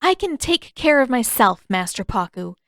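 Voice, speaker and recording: A woman's voice: on the high side, sing-song, normal speed, a bit bright, no echo, clean studio sound, pitched above the performer's own voice. A woman voicing a defiant, indignant teenage girl in a story reading, arguing in an emphatic, exasperated way. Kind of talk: audiobook-style fan fiction character reading.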